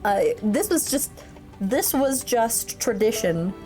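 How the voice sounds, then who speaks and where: A woman's voice: on the high side, animated, slow, dark tone, soft background music. A woman in her thirties, a military veteran, chatting casually and telling stories on a livestream, laughing often. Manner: chatty and rambling, laughs often, with frequent fillers. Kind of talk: casual livestream chat and storytelling.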